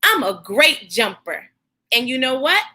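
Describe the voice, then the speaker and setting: Young storybook boy played by a woman: eager, earnest, brave, high and bright. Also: very animated, a little slow, full character voice. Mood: proud. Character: eager young boy building up his courage. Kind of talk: storybook read-aloud.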